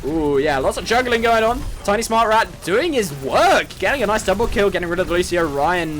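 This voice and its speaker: A man's voice: high-pitched, a bit bright, slight background noise. Irish male esports caster. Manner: upbeat, laughs often. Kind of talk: live play-by-play commentary.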